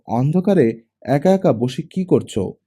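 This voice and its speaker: A man's voice: fairly high pitch, lively intonation, measured pace, mellow, slightly rough. A man voicing a playful, curious young daughter who teases her father in an audiobook drama. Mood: surprised, teasing.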